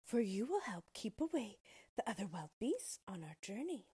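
A woman voicing a gentle little girl, using a soft, polite, childlike voice. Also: mid-range voice, big pitch swings, normal speed, husky, pitched above the performer's own voice.

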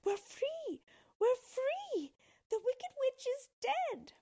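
A woman's voice: very high-pitched, very animated, very slow, mellow, voice pushed up, exaggerated voice. A woman voicing a brave, earnest little girl in a children's storybook, speaking emphatically. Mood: joyful.

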